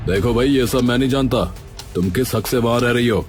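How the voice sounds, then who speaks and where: A man playing a scheming village headman in a cartoon story, smooth at first but bossy and dismissive. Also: medium pitch, brisk, soft background music, natural voice. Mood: stern, bullying. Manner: falsely friendly, then bossy and dismissive. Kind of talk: Hindi cartoon story acting.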